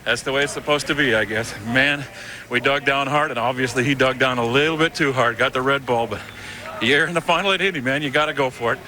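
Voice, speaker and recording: A man's voice: mid-range voice, quick pace, pushed and bright. A man giving a relieved, confident post-race interview at a racetrack. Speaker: winning drag racer. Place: outdoors at a racetrack. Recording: crowd noise at a racetrack.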